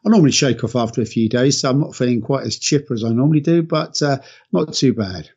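Croaky male guest getting over a cold, halting speech full of fillers, heard over a muffled lo-fi line. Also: mid-range voice, darkish tone. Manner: hesitant, many fillers. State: hoarse from a cold. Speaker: guest commentator. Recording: dull lo-fi line.

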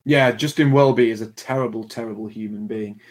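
A man hosting a livestream, briskly reading out donor messages and questions. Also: fairly low pitch, steady tone. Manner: brisk, reads messages aloud with small laughs. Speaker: livestream host reading donations. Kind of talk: casual livestream chat.

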